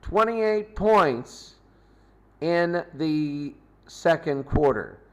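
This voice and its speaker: Slow-talking man recapping sports scores, clear voice. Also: on the high side, expressive, a bit bright. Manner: repeats scores, speaks in short fragments. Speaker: sports show host. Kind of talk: casual unscripted sports recap commentary.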